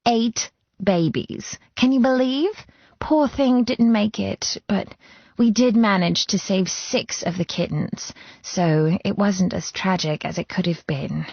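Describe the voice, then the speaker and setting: A woman's voice: medium pitch, takes it slowly, vocal fry, darkish tone, no echo, dull audio, voice pushed up. A woman voicing a chatty, teasing young female friend in audiobook dialogue, exclamatory and playfully sarcastic. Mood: warm, reserved.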